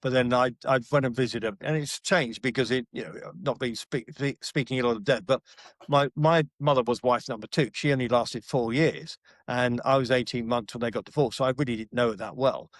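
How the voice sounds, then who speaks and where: A man's voice: medium pitch, steady tone, a little fast, no room sound. A middle-aged man telling blunt stories from his life as a podcast guest, with salty slang and frequent restarts. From Britain. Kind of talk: casual storytelling interview.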